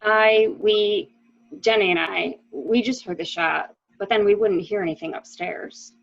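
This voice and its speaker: A woman's voice: mid-range voice, measured pace, creaky. A woman playing a genteel, composed widow in a murder mystery reading, on a muffled recording. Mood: subdued, faltering. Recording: dull, muffled lo-fi sound.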